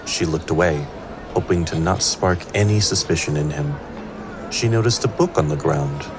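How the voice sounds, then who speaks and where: A man's voice: rumbling low, normal speed, background noise. A man performing scripted narration of a fantasy tale as an all-knowing storyteller. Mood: suspenseful. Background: prominent music.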